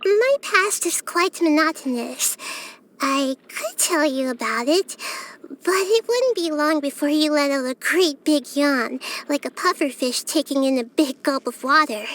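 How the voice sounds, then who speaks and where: A small child-like goddess from a fantasy video game, her voice high and girlish. She speaks gently and thoughtfully, like someone far wiser than she sounds. Her phrasing is measured and earnest, with a steady curiosity about people and the world. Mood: gently inviting. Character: small wise young girl deity, gentle and curious. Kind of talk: scripted video game character voice lines.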